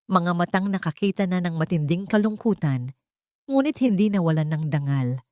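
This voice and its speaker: A woman's voice: deep voice, warm tone, no echo, studio quality. A woman reading a scripted love story as a dramatic narrator.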